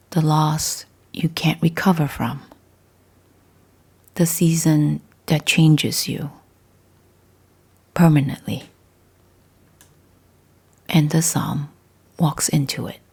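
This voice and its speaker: A woman with a low, dark voice leads a guided spiritual meditation. She speaks very slowly and deliberately, in short phrases with pauses between them. The sound is soft, breathy and husky. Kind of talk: scripted guided meditation, gentle spiritual talk.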